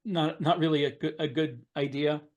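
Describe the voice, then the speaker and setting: Slightly higher-voiced man, halting podcast talker. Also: fairly even intonation, a little mellow, dull audio. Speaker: podcast panelist, science fiction author. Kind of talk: casual podcast discussion.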